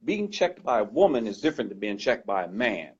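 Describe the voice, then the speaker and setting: Male guest, loud and emphatic, raspy edge, muffled lo-fi sound. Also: on the low side, sing-song, fairly quick. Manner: earnest, emphatic, repeats points. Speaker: guest speaker. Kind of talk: conversational discussion. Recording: dull lo-fi recording.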